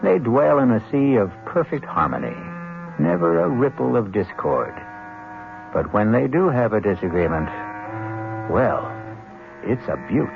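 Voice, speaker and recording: A man's voice: mid-range voice, big pitch swings, a little slow, vocal fry, long pauses, faint music behind. A man hosting a 1970s radio mystery show, narrating in a wry, theatrical storyteller's manner. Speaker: host narrator. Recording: lo-fi 1970s radio broadcast with hum.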